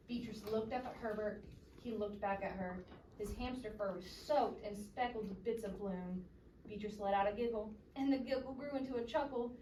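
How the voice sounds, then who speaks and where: A woman reading a children's story aloud expressively and interactively, recorded at a distance in an echoey, noisy room. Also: mid-range voice. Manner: expressive, questioning teacher-like delivery.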